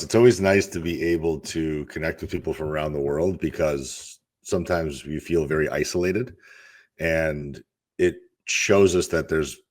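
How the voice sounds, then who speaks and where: A man in his thirties from Russia, a fitness coach telling candid, rambling stories as a podcast guest. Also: extremely low pitch. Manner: candid, rambling storyteller with restarts. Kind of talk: casual interview talk.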